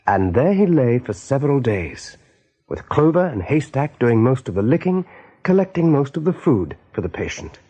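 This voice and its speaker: A serious storyteller narrates a radio play in a measured way. His voice is mid-pitched, dark and somewhat breathy. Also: very animated. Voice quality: husky, mellow. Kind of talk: scripted radio drama narration.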